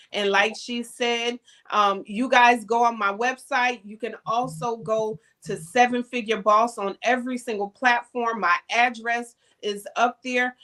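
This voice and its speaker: A woman's voice: a little high, fairly bright tone. A woman guest on a talk show, plugging her event and speaking in a motivational way. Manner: motivational, plugs her platforms. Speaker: summit founder guest. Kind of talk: promotional guest interview.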